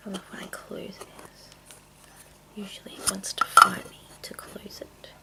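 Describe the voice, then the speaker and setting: A woman chatting casually in a soft, mostly whispered ASMR style, rambling along, with tapping and clicking from small containers. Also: normal speed. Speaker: video creator and host. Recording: noticeable noise, clicking sounds. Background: tapping and clicking of makeup containers.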